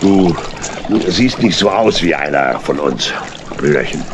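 An actor playing a sly, falsely chummy tramp in a children's audio play, with an oily, folksy drawl. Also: male voice, rumbling low, animated, a little slow, background noise. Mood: falsely friendly, sly. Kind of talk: children's audio drama acting.

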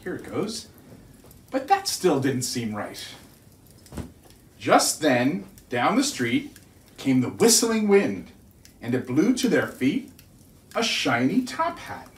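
A middle-aged man reading a children's storybook aloud in an expressive, deliberate storytelling manner. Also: mid-range voice. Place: living room by a fireplace, indoors. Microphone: distant camera. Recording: roomy living room, distant mic.

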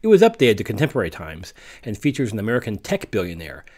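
A man's voice: on the low side, huge pitch swings, fast, darkish tone. A man hosting a podcast, reading an informal, explanatory introduction from notes. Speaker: podcast host.

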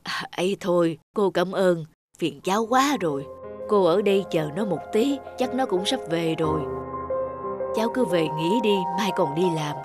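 A woman voicing a kindly, self-effacing middle-aged mother in a cartoon, gentle, grateful and reassuring. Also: lowish voice, animated, normal speed, soft background music, natural voice. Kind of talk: cartoon dialogue acting.

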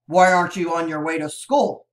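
A woman reading aloud, voicing a rough-faced male border guard who talks gruffly and louder than her narration. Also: on the low side, fairly even intonation, normal speed, reverberant, close to an everyday voice. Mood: demanding.

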